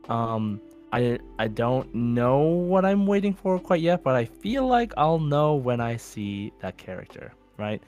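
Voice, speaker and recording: A man hosting a podcast in a casual, chatty style, as a quizmaster. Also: mid-range voice.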